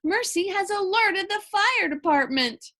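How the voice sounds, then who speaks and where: A woman's voice: extremely high pitch, animated, measured pace, sharp tone, pitched above the performer's own voice, exaggerated voice. A woman voicing a proud, doting, pompous husband in a storybook, with formal phrasing.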